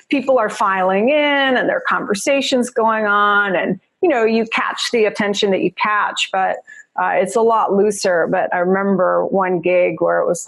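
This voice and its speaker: A woman's voice: mid-range voice, low-quality recording. A woman with a New Jersey accent, a mindfulness teacher chatting as a podcast guest, laughing often and talking with many fillers. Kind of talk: casual podcast interview.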